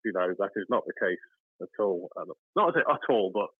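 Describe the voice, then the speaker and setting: A man's voice: low-pitched, expressive, a little fast, breathy edge, dry recording. A man calling into a show, casual and agreeable, repeating words as he talks. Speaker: caller. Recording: phone line.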